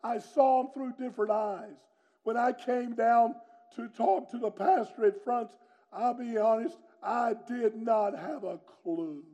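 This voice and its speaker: A middle-aged man, preaching a sermon with personal testimony in a loud, booming, strong delivery. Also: measured pace, lo-fi sound. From North Carolina, USA. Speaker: pastor.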